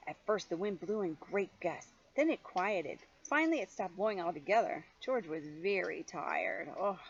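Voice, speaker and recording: A woman's voice: medium pitch, breathy edge, dull audio. An older woman, a grandmother reading a children's story expressively, with chatty questions to her young listener.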